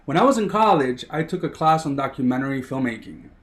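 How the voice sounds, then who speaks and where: A man's voice: medium pitch, animated, fast. A man in his thirties from Miami, a sports producer telling his story as a guest, eager and rambling with many fillers. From Miami, USA. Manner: eager, rambling, heavy on "you know" fillers. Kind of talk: casual interview storytelling.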